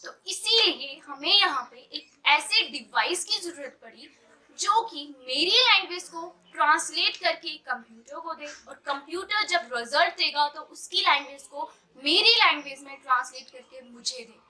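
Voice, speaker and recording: A young Indian woman with an Indian accent explaining a computer science lesson and mixing English terms into Hindi, reading English definitions slowly. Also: sits high, bright, forceful tone, poor audio.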